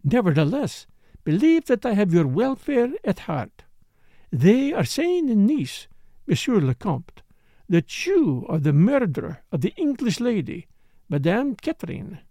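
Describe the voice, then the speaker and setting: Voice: medium pitch, sing-song, measured pace, dark tone, no room sound, voice pushed up. A reader voicing a passionate, scheming foreign female dancer in an audiobook story, emphatic, dramatic and accusing. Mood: blunt.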